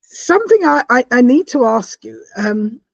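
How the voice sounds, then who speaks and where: A woman from South America hosting a podcast interview, talking in halting, repeated starts with animated bursts. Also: sits high, vocal fry, slightly rough, no room sound, dull audio. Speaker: podcast host. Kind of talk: conversational interview.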